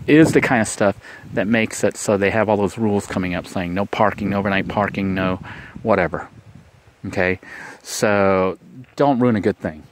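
A man's voice: lowish voice, a little hiss or hum, rough recording. A man talking outdoors in a casual vlog, giving earnest, rambling advice and repeating himself. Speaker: vlogger. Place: outdoors on a vacant lot.

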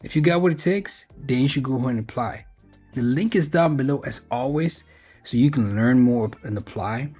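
A man's voice: medium pitch, normal speed, a little mellow, breathy edge, soft background music. A man hosting an informal explainer video, reading through a web page in a conversational way and stressing key points. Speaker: video host sharing job openings.

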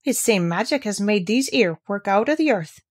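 A woman's voice: medium pitch, expressive, moderate pace, husky, dry recording, studio quality. A woman voicing a cheerful, plain-spoken country boy with a Yorkshire accent in a storybook.

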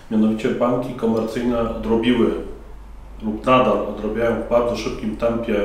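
A middle-aged man giving measured, formal answers as a conference panel moderator, standing by a banner at a conference. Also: fairly low pitch, darkish tone, a little hiss or hum. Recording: echoey room from far off.

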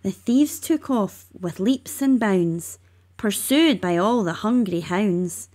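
Slow, measured woman reading a storybook. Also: medium pitch, lively intonation, mellow. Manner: measured, emphatic on sound words. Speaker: storybook reader. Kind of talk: expressive read-aloud rhyming children's narration.

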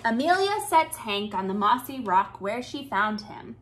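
A woman in her thirties reading a picture book aloud to young children with expressive, deliberate phrasing. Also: a little high. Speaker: children's storytime reader. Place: small indoor room with bookshelves.

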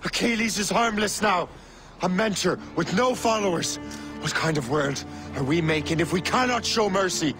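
An actor playing a hardened young male sailor who turned on his order, speaking firmly in a game cutscene. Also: fairly high pitch. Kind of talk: video game cutscene acting.

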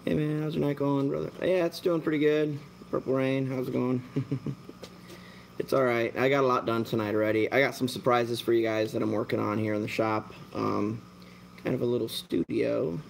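A man's voice: mid-range voice, vocal fry, a little hiss or hum, rough recording. A man in his thirties chatting casually on a live stream while he works in his shop, rambling with lots of fillers. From born in Germany, raised American. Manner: rambling, relaxed, talks while working, many fillers. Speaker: mantis breeder and shop owner. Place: dim indoor shop at night.

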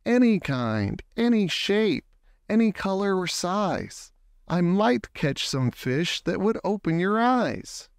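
A man reading a children's picture book aloud expressively, with deliberate phrasing and words drawn out for emphasis. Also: high-pitched, sing-song, gravelly, smooth, no echo, clean studio sound. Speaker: storybook reader.

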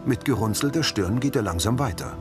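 A man's voice: fairly low pitch, expressive, brisk. A man reading scripted audio description of scenes as a narrator. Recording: music under the voice.

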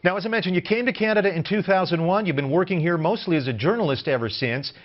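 A middle-aged male news anchor asks questions from a television studio. His voice is a bit high, and the broadcast sound is slightly muffled. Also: quick pace. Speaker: news host.

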